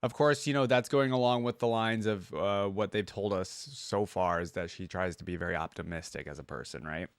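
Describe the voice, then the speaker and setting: A man's voice: low voice, fairly quick, hardly any pauses, clean tone, soft background music. A man hosting a reaction video in an informal, chatty way, thinking aloud as he comments.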